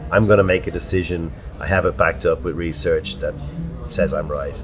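A man with an Irish accent hosting a casual pub chat, chatty and full of animated anecdotes. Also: low voice, normal speed, noisy background. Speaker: podcast host.

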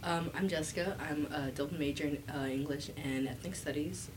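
A young woman on a casual podcast, talking haltingly with lots of fillers. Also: sits low, fairly even intonation, a little hiss or hum. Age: young adult. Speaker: podcast co-host. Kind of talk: casual chat.

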